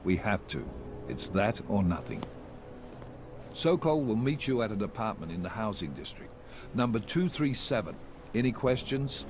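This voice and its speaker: A stern commanding director. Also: male voice, lowish voice, sing-song, normal speed, noisy background. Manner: firm, commanding and curt. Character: stern male agency director giving orders. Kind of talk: video game dialogue.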